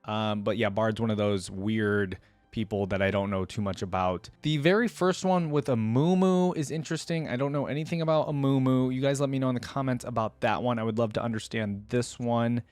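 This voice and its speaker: A man's voice: mid-range voice, a little fast, darkish tone, dry recording. Male reaction host, mid-range voice, dry recording, casual and unscripted, conversational rambling commentary with asides.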